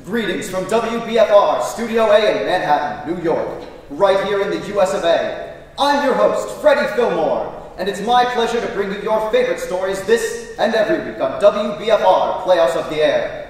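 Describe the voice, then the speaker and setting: A man's voice: sits high, expressive, normal speed. A man playing a grand, jovial 1940s radio show host, announcing theatrically on a stage. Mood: grand, showy. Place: large school theatre stage. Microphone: distant camera. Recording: echoey auditorium from far off, audience noise.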